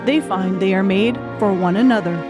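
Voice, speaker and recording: A woman's voice: medium pitch, normal speed. Woman narrating fiction over music. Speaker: audiobook narrator. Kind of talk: scripted dramatic fiction narration.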